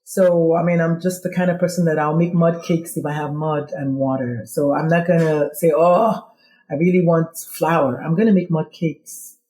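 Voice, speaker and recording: Nigerian woman with a mellow voice. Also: sits low, normal speed, reverberant, poor audio. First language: Igbo. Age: middle-aged. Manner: animated, quoting herself in dialogue. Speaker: guest. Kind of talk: casual interview storytelling.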